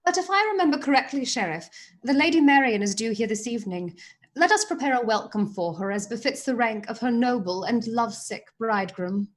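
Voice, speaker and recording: An actress as a sly plotter at court in a radio drama. The voice is fairly high, formal and arch. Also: female voice, lively intonation, brisk, close to an everyday voice. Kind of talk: theatrical radio play acting.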